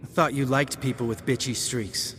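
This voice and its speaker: A man's voice: medium pitch, steady tone, moderate pace, a bit bright, long reverb tail, slight background noise, lightly acted. Man as a blunt, cynical monster hunter. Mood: sardonic, bitter. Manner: sardonic, blunt. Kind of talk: video game dialogue.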